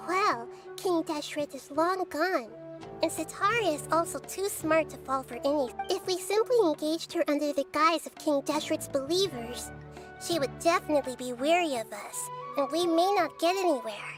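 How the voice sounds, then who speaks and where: Game child deity played by a woman: wise, gentle, high girlish voice, measured and thoughtful, music behind. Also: natural voice. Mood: calm, scheming. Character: wise, gentle child-sized goddess girl, calm planner. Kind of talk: video game dialogue acting.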